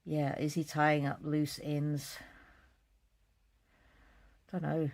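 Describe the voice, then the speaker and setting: A woman hosting a live psychic card reading, chatting casually and reading out comments in a gentle, musing, hesitant way with soft repetitions. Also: low-pitched, flat delivery, drawn-out words.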